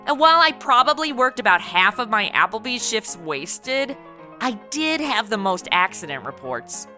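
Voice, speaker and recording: A brash, snarky American party girl played by a woman with a bright, very animated voice. She banters and narrates boozily in a comedy audio drama, music faintly behind. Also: fairly high pitch, normal speed. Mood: tipsy, snarky. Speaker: lead of the show.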